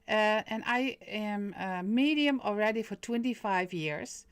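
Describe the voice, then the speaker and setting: A woman's voice: medium pitch, lively intonation, a little slow, clean tone, no room sound. A middle-aged woman with a Dutch accent, whose first language is Dutch, hosting a casual live stream as a medium and talking hesitantly with many fillers and restarts. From Netherlands.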